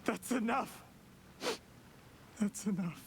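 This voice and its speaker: Higher-voiced, cornered, desperate youth. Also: male voice, slow, gravelly, background noise, exaggerated voice. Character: cornered, defensive young man, guilty and desperate. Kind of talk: video game voice acting.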